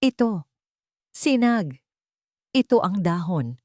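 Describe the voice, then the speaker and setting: Woman acting a gentle, hopeful female caregiver. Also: lowish voice, big pitch swings, slow, dry recording, clean studio sound. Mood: gentle coaxing. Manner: soft, polite, tender.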